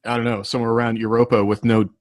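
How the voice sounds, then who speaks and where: A man's voice: medium pitch, level tone, very fast, no room sound, studio quality. A man chatting casually as a panelist on a writers' podcast. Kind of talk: casual chat.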